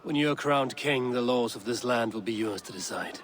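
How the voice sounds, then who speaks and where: An actor playing a stern, battle-hardened Viking warrior in a video game, speaking tersely and gravely. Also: male voice, medium pitch, moderate pace, fairly bright tone, slight background noise, natural voice. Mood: solemn, warm. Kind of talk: video game cutscene acting.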